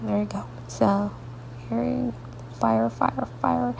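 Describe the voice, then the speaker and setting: A woman artist talking through a coloring tutorial with a congested, stuffy voice, rambling softly and often dropping into half-whispered asides, sleepy late at night. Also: on the high side, steady tone, takes it slowly, gravelly, a little hiss or hum, rough recording. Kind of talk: casual coloring tutorial narration.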